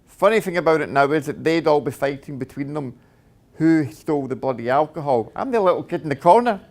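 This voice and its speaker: A man's voice: on the high side, expressive, fairly quick, gravelly, darkish tone. A middle-aged man with a Scottish accent tells his own life story to an audience in a plain, direct way, with many "uh" fillers and "okay?" check-ins. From Scotland. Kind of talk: personal testimony talk.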